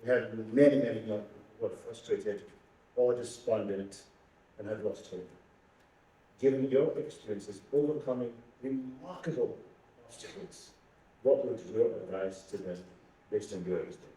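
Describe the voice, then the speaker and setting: Male panel moderator, hesitant delivery, dark tone, heavy hall reverb from a distant mic. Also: lowish voice, normal speed. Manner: hesitant, with fillers.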